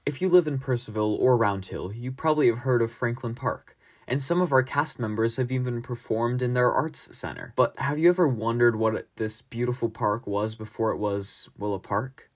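A man's voice: medium pitch, normal speed. Young male narrator, middle register, scripted tour-guide read for a student history piece. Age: young adult.

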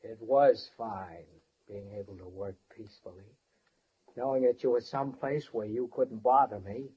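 A man's voice: lowish voice, moderate pace, highs cut off, lightly acted. An actor playing a paranoid, menacing handyman in an old radio thriller, with a controlled, threatening manner. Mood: taunting. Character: menacing, paranoid handyman holding a woman captive. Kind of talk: 1940s-50s radio thriller acting. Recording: noisy old-time radio recording.

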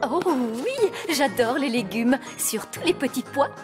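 An actress playing a gracious fairy queen and mother in a French cartoon, patient and expressive, with a slightly husky voice. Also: sits high, measured pace, music in the background. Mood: delighted. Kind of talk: French animated cartoon dialogue.

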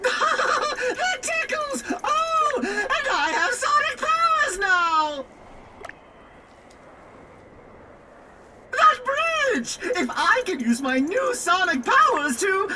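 A man voicing a chatty, fussy, excitable male robot companion in a video game, theatrical and wry. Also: very high-pitched, slow pace, few pauses, full character voice. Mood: giddy, excited. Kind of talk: animated video game acting. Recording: game audio with clear noise.

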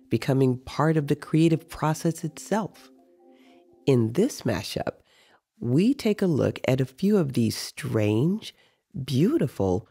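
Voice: medium pitch, gravelly, warm tone, slightly rough. A podcast host reading a scripted introduction to interview clips.

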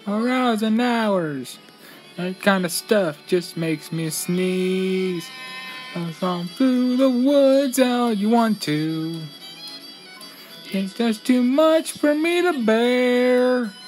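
A grumpy, easily flustered country bear, acted out by a woman, pitched up high. He complains and exclaims in a cartoon stage show, with music behind him. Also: lively intonation, extremely slow, a little mellow, low-quality recording. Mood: grumbling.

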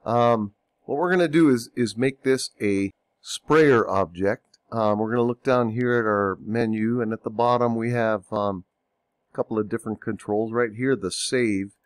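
A man getting over a cold, narrating a software tutorial over a screen recording, unhurried and thinking aloud with ums and self-corrections. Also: medium pitch, dry recording, clean studio sound.

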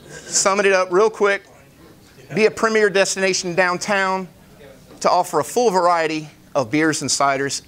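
Higher-pitched man giving an informal pitch. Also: a bit bright. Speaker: entrepreneur presenting a business pitch. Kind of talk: informal live presentation with slides. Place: indoor meeting room, standing at a lectern.

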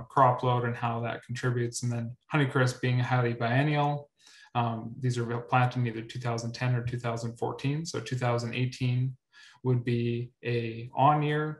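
A young man presenting research on a video call, repeating words often with many ums. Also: mid-range voice. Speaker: researcher presenting at a grower meetup. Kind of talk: webinar presentation. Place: indoor room. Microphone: webcam on video call. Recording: roomy echo.

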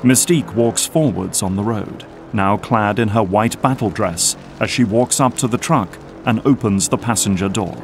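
A man with a lowish voice narrates an action audio drama. His scripted storytelling runs over prominent music. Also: normal speed, hardly any pauses.

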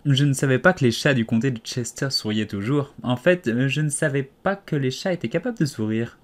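A man's voice: medium pitch, a little fast, close to an everyday voice. Man voicing a polite, anxious little girl. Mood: timid, polite. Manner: polite, hesitant, exclaiming.

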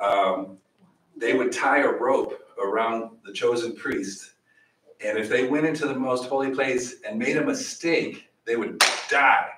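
Middle-aged man, church teacher, lively questioning manner, roomy echo, heard from a distance. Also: medium pitch, unhurried. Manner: animated, gestures widely, asks the audience questions. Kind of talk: Bible study teaching. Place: small church meeting room. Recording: echoey room, voice from far off.

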